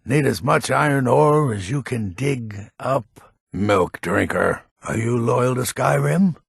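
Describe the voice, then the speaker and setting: A man playing a gruff, grumpy but honourable old Nord hunter in a fantasy video game, with a gravelly, husky voice and a weighty, deliberate manner. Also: medium pitch, expressive, no echo, clean studio sound, heavily acted. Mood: suspicious, gruff. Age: older.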